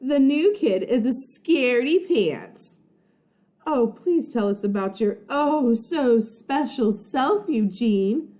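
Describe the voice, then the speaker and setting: A bratty little schoolgirl from a children's storybook, acted out by a woman reader. She taunts with sugary sarcasm, her raised pitch swooping about in a mocking sing-song as she stretches out her pleas. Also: animated, slow pace, mellow. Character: sneering, sarcastic bratty first-grade girl classmate.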